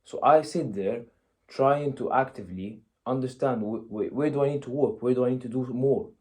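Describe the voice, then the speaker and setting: A young man from Egypt delivers a casual motivational talk in a warm, dark-toned voice. His pitch sits in an ordinary middle register. He speaks bluntly and conversationally, checking in with "yeah?" and "you know". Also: moderate pace. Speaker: motivational vlogger.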